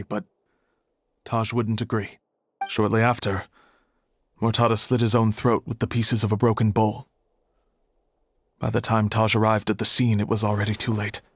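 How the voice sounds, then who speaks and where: An actor playing a reserved, serious archive keeper in a video game, speaking in a measured, guarded way. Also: male voice, on the low side, no echo, natural voice.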